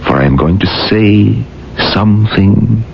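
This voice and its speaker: An actor in a 1970s radio drama playing a stern, solemn older rabbi with a grave, admonishing manner. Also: male voice, on the low side, animated, creaky. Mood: grave, insistent. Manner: grave, admonishing, deliberate oratory. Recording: muffled, hissy old radio recording.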